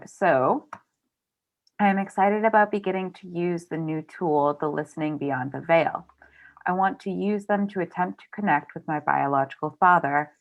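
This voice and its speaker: A woman's voice: low-pitched, dull audio. A woman moderating a bookstore's virtual author event, casual and upbeat as she reads out audience questions and wraps up. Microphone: video call.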